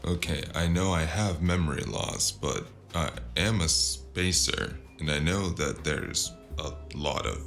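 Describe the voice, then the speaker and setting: A man performs a battered, sardonic spacer for a noir audio drama. The voice is extremely low with a heavy gravelly creak, delivered deadpan and wry. Faint music runs behind it. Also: a little slow, hardly any pauses, exaggerated voice. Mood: exasperated.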